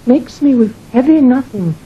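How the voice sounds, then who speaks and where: A husky, sorrowful queen. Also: high-pitched, lively intonation, moderate pace, lo-fi sound, exaggerated voice. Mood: sorrowful, foreboding. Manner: solemn, declamatory verse speaking. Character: grieving, foreboding queen, regal and melancholy. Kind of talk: classical Shakespearean radio acting.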